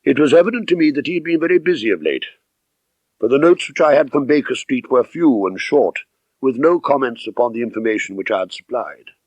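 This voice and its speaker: Measured male audiobook narrator, close and dry. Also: medium pitch, dry recording, low-quality recording. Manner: measured, expressive, dramatic. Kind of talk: scripted first-person literary narration.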